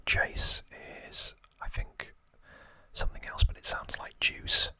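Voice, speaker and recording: A man speaks entirely in a whisper, as an ASMR host sharing trivia. His delivery is slow and halting, with hushed phrases set down a few words at a time. The whisper has a crisp, bright edge to it. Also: no room sound.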